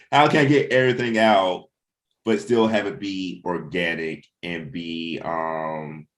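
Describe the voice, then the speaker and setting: Very deep-voiced man talking casually. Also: fairly even intonation, slow. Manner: rambling, repeats "you know". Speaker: comic book writer hosting a table read discussion. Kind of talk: casual creative discussion.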